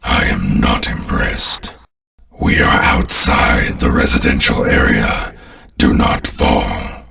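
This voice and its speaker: A man voicing a sinister, contemptuous alien warlord in a video game, giving pompous, commanding battle orders in a gravelly voice. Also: pushed and bright, far from the mic, full character voice. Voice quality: very deep, gravelly. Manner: slow, pompous, disdainful, commanding. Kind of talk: scripted video game character lines.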